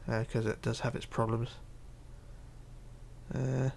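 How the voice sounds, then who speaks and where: A man hosting a casual livestream, reading chat aloud and chatting in a rambling, halting way. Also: mid-range voice, little pitch movement, creaky, a little mellow, slight background noise. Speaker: Minecraft livestreamer.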